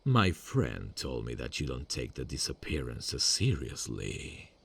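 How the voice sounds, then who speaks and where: A man voicing a soft-spoken male serial killer in an ASMR audio drama, calm and quietly menacing, often close to a whisper. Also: measured pace, exaggerated voice. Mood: taunting. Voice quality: gravelly, husky low voice. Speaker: voice actor.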